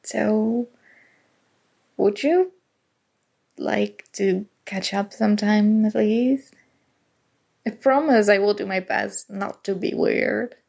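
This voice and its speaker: A woman's voice: medium pitch, slow pace, creaky, pauses often, a little mellow, husky, exaggerated voice. A woman acting as a nerdy, flustered college student in an intimate ASMR roleplay, speaking hesitantly and emotionally with stammers. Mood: resigned, shyly hopeful. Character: nerdy, flustered young female college student confessing a crush.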